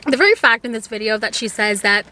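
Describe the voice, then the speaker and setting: A high-pitched woman talks from a parked car in a chatty, exasperated way, laying out her opinions as casual vlog commentary. Her voice sits well up in her range throughout. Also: animated, fast talker, pushed and bright, slight background noise, rough recording. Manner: exasperated, chatty, laughs now and then. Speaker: commentary vlogger. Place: inside a car.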